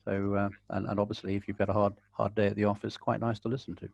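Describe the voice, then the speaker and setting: A man giving calm, measured advice and answering questions in a video-call webinar, with a dry, wry way of talking. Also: lowish voice, vocal fry, dry recording, highs cut off. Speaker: guest conservationist.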